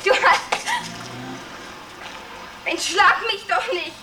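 A woman's voice: very high-pitched, very slow, exaggerated voice. An actress playing a defiant, streetwise young woman with coarse slangy talk, desperate and mocking, in a gritty radio drama. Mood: scornful, pleading. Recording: distant, over loud background noise.

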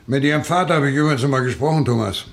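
Police inspector, male actor: composed, practical, kindly authority, medium pitch, vintage radio crime drama. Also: racing, gravelly, lightly acted. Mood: genial. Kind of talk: 1970s German radio crime drama acting.

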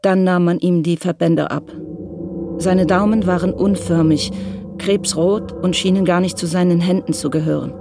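Measured radio play narrator. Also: mid-range voice, steady tone, gravelly, music in the background. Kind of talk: scripted narration.

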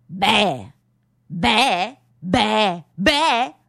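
A woman's voice: medium pitch, animated, extremely slow, gravelly, fairly bright tone, no room sound, clean studio sound, exaggerated voice. A woman acting in a radio play as an unstable figure, repeating words obsessively in theatrical outbursts. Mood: agitated. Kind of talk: theatrical radio drama monologue.

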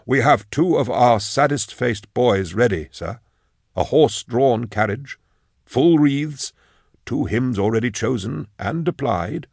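A man voicing a slender, formal undertaker in an audiobook, measured, deferential and mournful. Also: mid-range voice, animated, gravelly, a little mellow, husky, no room sound, clean studio sound, voice pushed up, full character voice. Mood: solemn, deferential.

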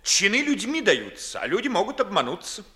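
An actor playing a proud, witty young gentleman in a 1950s classical radio play, declaiming verse with sardonic emphasis. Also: high-pitched, huge pitch swings, a little fast, heavily acted. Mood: mocking.

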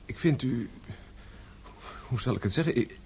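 Radio play: awkward, kindly young gentleman actor, hesitant stammer, lively intonation, faintly noisy recording. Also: mid-range voice, normal speed, lightly acted. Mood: bashful. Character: shy, awkward, good-natured young gentleman. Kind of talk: radio drama acting.

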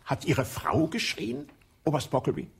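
An actor playing a shrewd police inspector in a radio play, with a husky voice, probing and ironic. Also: male voice, fairly high pitch, huge pitch swings, moderate pace, full character voice.

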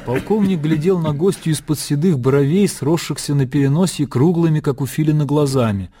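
A man narrating a literary radio drama in a measured storytelling manner. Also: on the high side, dark tone, a little hiss or hum.

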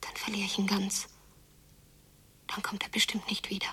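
A woman's voice: medium pitch, completely flat delivery, normal speed, bright, forceful tone, husky, distant mic. An actress playing a hurt, yearning girl abandoned by her father in an intense radio play monologue that often drops into whispering. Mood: pleading self-blame.

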